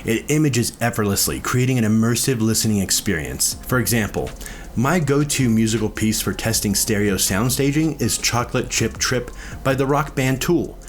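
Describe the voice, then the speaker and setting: A man's voice: mid-range voice, expressive, normal speed, slight background noise. A man reading a scripted product review as a narrator and reviewer.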